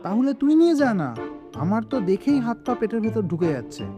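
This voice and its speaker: Man playing a high-voiced timid, whiny young friend. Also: big pitch swings, a little fast, music in the background. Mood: frightened. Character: timid, shaky young man, sarcastically needling his friend. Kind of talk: comedy audio drama acting.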